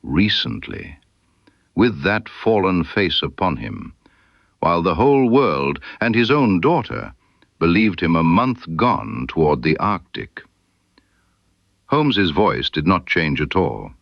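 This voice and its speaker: A man narrating a scripted literary mystery story in a measured, deliberate storytelling manner. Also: low voice, sing-song, vocal fry, no room sound, dull audio. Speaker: audiobook narrator.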